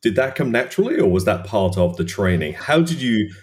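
Low-voiced podcast host man. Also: very animated, fairly quick. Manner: conversational, loosely phrased questions. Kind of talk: interview questions.

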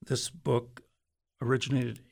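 A man hosting a conversational book interview, with a folksy, gently prompting way of talking and hesitant phrasing. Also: mid-range voice, little pitch movement, a little slow, vocal fry, breathy edge, dry recording, studio quality. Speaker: radio interviewer. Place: radio studio, in person.

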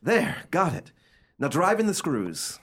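A man playing an imperious, coolly cruel, excitable gentleman heir in an old-fashioned radio play, speaking in a theatrical, commanding, formal way. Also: a little high, a little slow, very noisy. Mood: urgent, commanding.

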